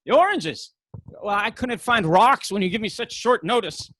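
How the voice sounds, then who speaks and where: A man playing an impulsive, bumbling activist in a comedy on a video call, rambling and stammering excitably. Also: high-pitched, moderate pace, dry recording. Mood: sheepish, excited. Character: bumbling, impulsive, sheepishly defiant young male activist. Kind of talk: comedic stage acting over video call. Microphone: video call microphone.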